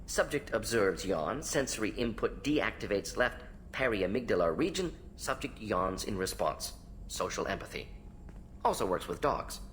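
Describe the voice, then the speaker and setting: An actor playing an analytical alien scientist in a video game, talking in clipped, clinical fragments with dropped pronouns. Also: male voice, on the low side, very animated, moderate pace, fairly bright tone, a little hiss or hum. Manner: clipped telegraphic phrases, clinical, omits pronouns. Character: fast-talking, analytical alien scientist, proud and ageing uncle. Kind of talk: video game dialogue acting.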